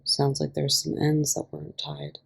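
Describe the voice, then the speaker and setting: A woman's voice: deep voice, monotone, unhurried, gravelly, breathy edge, full character voice. A woman voicing an anxious male inmate in an audio story, urgent and fiercely worried for his partner. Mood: hushed alarm. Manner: urgent, often harsh whispering.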